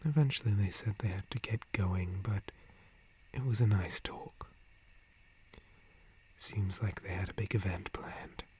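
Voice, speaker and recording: A man playing a gentle, calm, friendly dryad forest guardian in a breathy ASMR whisper, with a soothing manner. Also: on the low side, a little hiss or hum, lightly acted. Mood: friendly, relaxed. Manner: slow, soothing, unhurried. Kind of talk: ASMR roleplay.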